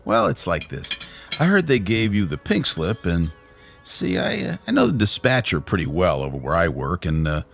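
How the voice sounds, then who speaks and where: A man's voice: low-pitched, very animated, moderate pace, vocal fry, fairly bright tone, slightly rough, faint music behind, full character voice. An actor playing a hearty, blunt working man with a breezy, folksy, rambling way of talking in an old television drama. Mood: breezy, teasing. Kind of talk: mid-century television drama acting.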